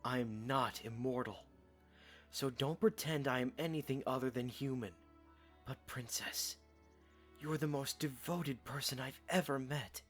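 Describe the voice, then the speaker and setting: A man's voice: medium pitch, unhurried, fairly bright tone, soft background music, natural voice. A man playing an earnest, protective young warrior in an audio drama, plain-spoken and given to short sentences. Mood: intense, insistent.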